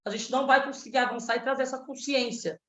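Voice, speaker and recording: Woman, 30s, from Pernambuco, political candidate, earnest activist manner, high-ish voice, echoey dull video-call sound. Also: fairly even intonation, fast, fairly bright tone. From Pernambuco, Brazil. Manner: earnest, activist, frequent "né?" tags. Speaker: candidate for state legislature. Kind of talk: panel debate answer.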